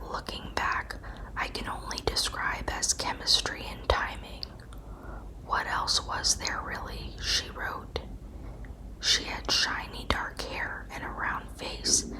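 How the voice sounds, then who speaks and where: A woman narrating a scripted true crime story as whispered ASMR, in a measured storytelling delivery. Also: poor audio.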